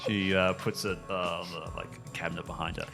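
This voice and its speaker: A man's voice: low voice, flat delivery, fairly quick, creaky, sharp tone, faint music behind. A man chatting casually and narrating as game master of an improvised tabletop game.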